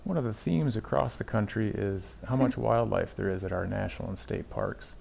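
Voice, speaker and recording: A man's voice: fairly low pitch, normal speed, gravelly, darkish tone, slightly rough. A middle-aged man from the United States narrating a travel vlog about a road trip in an easygoing, lightly wry way.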